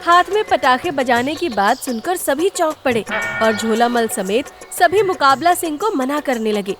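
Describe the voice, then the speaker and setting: A woman's voice: high-pitched, expressive, normal speed, over music. A woman narrating a scripted comic story for a cartoon, in the role of storyteller.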